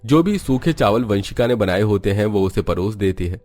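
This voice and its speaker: A man's voice: fairly low pitch, lively intonation, moderate pace, darkish tone. A man narrating a scripted cartoon family story as a storyteller.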